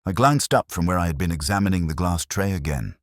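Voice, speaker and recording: Very deep-voiced man narrating a mystery. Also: lively intonation, quick pace, dry recording, clean studio sound. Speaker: audiobook narrator. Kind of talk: scripted first-person mystery narration.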